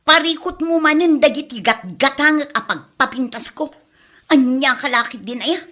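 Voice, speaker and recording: A vain Ilocano wife in a radio comedy drama. Her voice sits high with a bright, sharp edge. She talks in an expressive, gossipy way with a whiny streak. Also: normal speed. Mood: indignant. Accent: Ilocano Filipino. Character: vain, nagging wife who loves buying beauty products.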